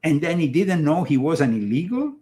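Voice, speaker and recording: A man from Italy with an Italian accent, hosting a political commentary show, speaking emphatically but halting often with fillers and restarts. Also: on the high side, big pitch swings, dark tone. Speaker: show host.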